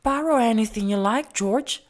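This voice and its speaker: Woman voicing a kindly, high-pitched storybook party hostess. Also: moderate pace, lightly acted. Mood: helpful, inviting. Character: kindly, obliging woman hosting a costume party. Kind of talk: children's storybook character voice.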